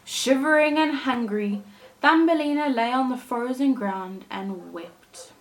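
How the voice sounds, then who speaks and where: Slow-paced woman reading a children's story. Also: high voice, rough recording. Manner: deliberate, animated storytelling with dramatic emphasis. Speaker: primary school teacher reading to her class. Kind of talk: expressive storybook read-aloud for young children.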